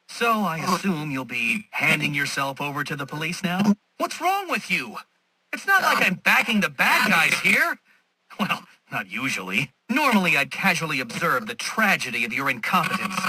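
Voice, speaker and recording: Video game villain: superior, taunting intellectual, piercingly bright edge, highly animated delivery, sarcastic and pompous. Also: male voice, a little hiss or hum, low-quality recording. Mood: indignant, sardonic. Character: smug, condescending male genius villain, taunting and superior.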